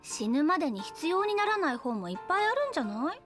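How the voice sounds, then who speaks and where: A woman's voice: sits high, sing-song, fast talker, fairly bright tone, soft background music. An actress playing a carefree, teasing young female college student in a Japanese anime audio drama, talking breezily. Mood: frank, teasing. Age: young adult. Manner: breezy, casual, playful.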